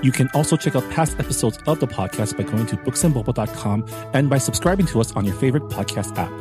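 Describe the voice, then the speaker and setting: Guy hosting a podcast, casual talk, average pitch, music behind him. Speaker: podcast host.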